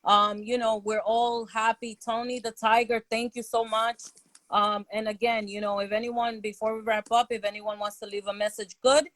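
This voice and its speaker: A woman with a fairly high voice, co-hosting a podcast in relaxed, casual chat. She talks in a reassuring, easygoing way, full of "you know" and "um", recorded dry and close with no room sound. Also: normal speed, no echo. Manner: laid-back, reassuring, filler-heavy. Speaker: podcast co-host.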